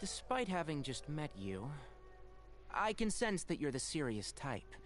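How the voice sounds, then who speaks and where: A man playing a perceptive young forest ranger with a measured, straightforward way of talking in an anime video game. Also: on the high side, sing-song, fairly bright tone. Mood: frank. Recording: game music behind.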